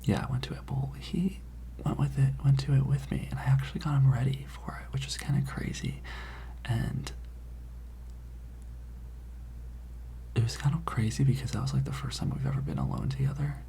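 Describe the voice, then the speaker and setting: An ASMR performer playing a gossipy, dramatic high school student, rambling in a hushed, conspiratorial way. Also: lively intonation, quick pace. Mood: sheepish, confessional. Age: teenage character. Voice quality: breathy whisper with creaky edges. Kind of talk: ASMR roleplay.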